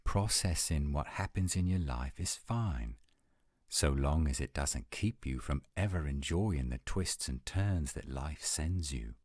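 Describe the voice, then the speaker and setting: A man playing an adult male werewolf lover in a romantic roleplay audio, speaking in an intimate, measured, coaxing and reassuring way. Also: rumbling low, animated, darkish tone, no echo, clean studio sound, full character voice. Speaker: voice actor.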